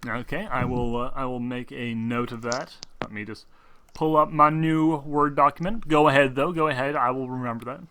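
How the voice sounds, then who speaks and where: A man's voice: mid-range voice, fairly even intonation, moderate pace, bright, forceful tone, a little hiss or hum. A man hosting a tabletop roleplaying show as game master, explaining things wordily in a casual style. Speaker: game master and host of an actual-play show.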